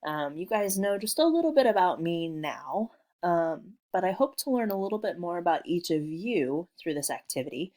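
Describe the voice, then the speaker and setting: A woman from Missouri teaching a recorded remote lesson from home, talking in a friendly, relaxed way with frequent ums. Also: fairly low pitch, moderate pace. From Missouri, USA. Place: working from home.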